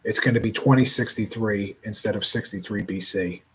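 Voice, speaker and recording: Halting, rambling man in his forties. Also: on the low side, fairly bright tone, low-quality recording. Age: 40s. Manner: rambling, halting, with many restarts. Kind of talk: casual reflective monologue.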